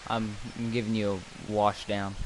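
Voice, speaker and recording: A man's voice: lowish voice, flat delivery, moderate pace, noisy background. A fourteen-year-old boy chatting casually with viewers while playing a farming game, rambling and repeating words as he starts sentences. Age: teens. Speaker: gaming video host. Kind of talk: casual gameplay chat with viewers.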